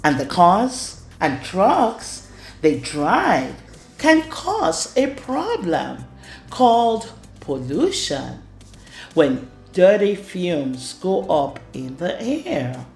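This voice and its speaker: A woman reading a rhyming children's storybook aloud in an expressive way. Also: fairly low pitch, very slow. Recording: roomy echo with music underneath. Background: music.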